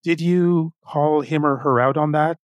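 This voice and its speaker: A man hosting a conversational podcast interview, speaking in a measured, reflective way. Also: on the high side, steady tone, no room sound, lo-fi sound. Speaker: podcast host.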